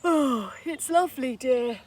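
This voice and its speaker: A woman's voice: high voice, lively intonation, a little slow, a little mellow, slight background noise, pitched above the performer's own voice. A woman voicing a weary, put-upon father in a children's storybook.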